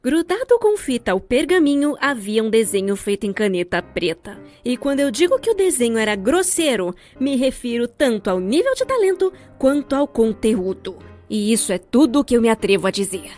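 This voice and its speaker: A woman's voice: fairly high pitch, very animated, moderate pace, few pauses, a little mellow, soft background music. A young adult woman with a Brazilian accent giving scripted, comedic storytime narration in a theatrical, wry way. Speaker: storyteller, fan-dub voice actor.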